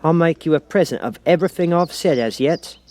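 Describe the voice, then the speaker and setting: A man voicing a gushing, clingy old Duchess for an audiobook, with a cloying, pompous manner and drawn-out exclamations. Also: a little high, a little mellow, a little hiss or hum, voice pushed up, lightly acted. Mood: pompous, pleased. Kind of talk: audiobook character voice.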